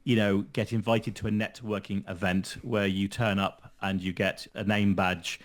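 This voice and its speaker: A man being interviewed as a company founder, talking in an animated, emphatic way full of rhetorical questions and restarts. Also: on the low side, normal speed. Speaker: guest, company founder and CEO. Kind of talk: conversational interview answers.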